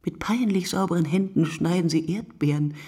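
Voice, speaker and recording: A woman performing a reflective, sleepless narrator in a literary radio monologue, speaking in measured short phrases. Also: lowish voice, mellow, slightly rough, no room sound, clean studio sound. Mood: dry, ironic.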